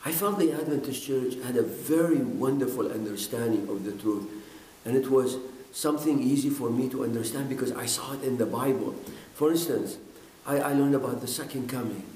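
A man's voice: mid-range voice, a little mellow. Older man speaking earnestly in an echoey room. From Iraq. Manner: earnest, halting, with repeated words and fillers. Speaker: pastor. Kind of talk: personal testimony to camera. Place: indoors, in front of a bookshelf. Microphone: camera at close range.

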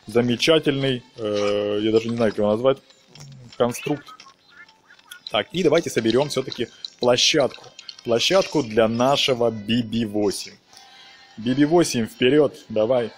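A man giving a casual, playful walkthrough commentary on a video game, chatting to the characters as he plays. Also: medium pitch. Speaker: gaming video host.

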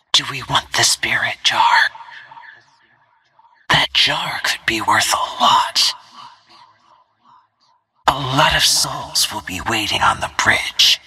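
An actress voicing a cold, self-assured assassin soldier in a video game, with a breathy, clipped, deliberate delivery. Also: female voice, extremely low pitch, bright, forceful tone, no room sound, full character voice. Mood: hushed, watchful. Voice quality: breathy, whispery. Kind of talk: scripted video game voice lines.

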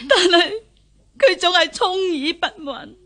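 A plaintive, high-voiced noble mother. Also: lively intonation, a little slow, bright, forceful tone, heavily acted. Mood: grieving. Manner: plaintive, formal. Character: grieving, fretful mother, lady of the house. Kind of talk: Cantonese radio costume drama acting.

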